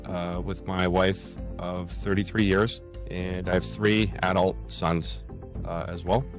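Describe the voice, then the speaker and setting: A sixty-year-old man with a Canadian accent, answering interview questions casually in a halting, thinking-aloud way. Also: sits low, flat delivery, over music. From Toronto, Canada. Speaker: podcast guest, author.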